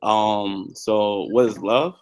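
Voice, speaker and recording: A man answering on a panel over a video call, loose and joking, his loudness swinging up and down. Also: lowish voice, steady tone, takes it slowly.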